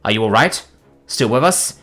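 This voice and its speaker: A man playing a protective, defiant human fighter with a gruff, urgent manner in an audiobook. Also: mid-range voice, expressive, normal speed, soft background music. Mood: concerned. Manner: urgent, forceful.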